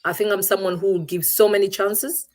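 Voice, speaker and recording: A woman's voice: medium pitch, fairly even intonation, fairly quick, darkish tone. A middle-aged woman from Uganda, a guest in an interview, answering thoughtfully and searching for her words with frequent restarts.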